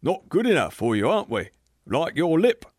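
A man's voice: medium pitch, very animated, moderate pace, dry recording, studio quality, pitched above the performer's own voice, close to an everyday voice. A man voicing a big, beefy, belligerent working-class man who growls and blusters.

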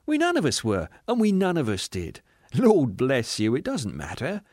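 A male narrator takes on a happy young spirit in an audiobook. He is forgiving, amused and softly coaxing. The pitch sits raised and very mobile, in a dry, close sound. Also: huge pitch swings, moderate pace, dry recording. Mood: amused, gentle. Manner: gentle, amused, lightly coaxing. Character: joyful, forgiving young male heavenly spirit, once a murderer. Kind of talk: dramatised audiobook reading.

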